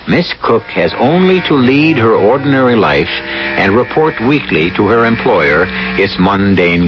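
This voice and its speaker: A man with a low voice narrates as host of a radio mystery play. His delivery is ornate and theatrical, with wide swings of pitch over music, on a muffled old broadcast. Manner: grandiloquent, deliberate dramatic phrasing.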